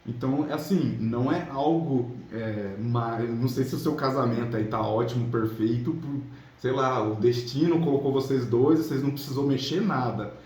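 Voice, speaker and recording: A man's voice: medium pitch, normal speed, darkish tone. A Brazilian man giving casual motivational relationship coaching, chatting colloquially to viewers and checking in with little tag questions. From São Paulo state, Brazil. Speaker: relationship coach, channel host. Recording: roomy echo, clear background noise.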